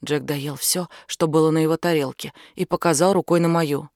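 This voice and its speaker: A woman's voice: deep voice, steady tone, moderate pace, darkish tone, no room sound, clean studio sound. A woman reading a scripted first-person novel as an audiobook narrator.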